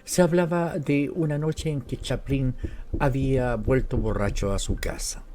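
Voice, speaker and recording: A Latin American man narrates literary fiction in Spanish-accented storytelling form. His voice is mid-pitched, soft-edged and mellow. Music plays behind his reading. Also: steady tone, a little slow. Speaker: audiobook narrator. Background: background music.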